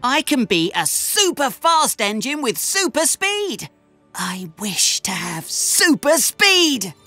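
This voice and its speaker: Cartoon young male engine: cheeky, cheerful, high and bright, music underneath. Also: big pitch swings, takes it slowly, full character voice. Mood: eager, concerned. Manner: bubbly, playful, exclaiming. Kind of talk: children's animated series voice acting.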